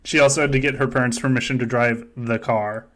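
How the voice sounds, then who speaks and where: Male stream host, average pitch, casual and wry, given to profane little rants. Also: steady tone, fairly quick, a bit bright. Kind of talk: casual joking chat.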